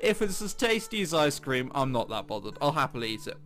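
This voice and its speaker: A man's voice: mid-range voice, sing-song, brisk, fairly bright tone. A man giving casual, jokey gaming commentary with sarcastic asides, switching between reading game text aloud and his own remarks. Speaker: let's player. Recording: music behind the voice. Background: game background music.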